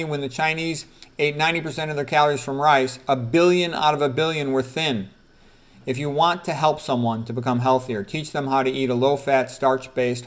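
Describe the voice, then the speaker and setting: A middle-aged or older man, a doctor, reading quotes aloud with informal commentary and repeating key phrases for emphasis. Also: on the high side. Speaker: doctor presenting quotes from a book.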